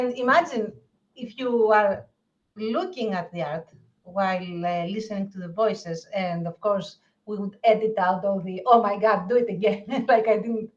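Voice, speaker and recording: A woman co-hosting a podcast, describing scenes casually in a halting, informal and expressive way. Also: mid-range voice, dull audio. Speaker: podcast co-host.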